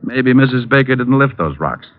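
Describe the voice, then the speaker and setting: A man's voice: mid-range voice, sing-song, lightly acted. A man playing a cocky wisecracking private eye. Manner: dry, teasing and unhurried. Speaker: private detective narrator. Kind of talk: 1950s radio drama acting. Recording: muffled old radio broadcast.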